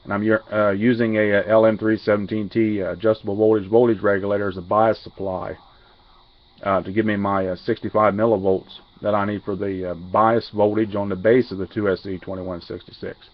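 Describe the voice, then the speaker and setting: A man giving an unscripted technical walkthrough as a radio hobbyist, halting and pausing mid-sentence. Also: fairly low pitch, little pitch movement. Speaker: amateur radio hobbyist demonstrating equipment. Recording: dull lo-fi audio, faint radio hiss.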